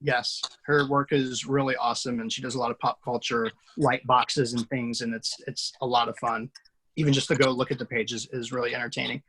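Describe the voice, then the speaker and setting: A man hosting a casual video-call chat after a table read, easygoing and quick to laugh. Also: mid-range voice, level tone, a bit bright, slightly rough, rough recording.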